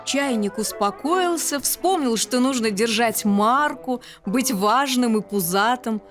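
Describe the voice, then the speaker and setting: A woman reads a scripted bedtime fairy tale as the storyteller. Her voice is fairly high, expressive and articulate, with music softly behind it. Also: slow pace.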